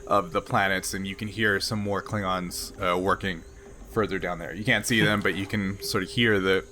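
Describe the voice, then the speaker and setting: A man's voice: low-pitched, steady tone, normal speed, pushed and bright, slight background noise. A man speaking casually as the game master of a tabletop roleplaying game.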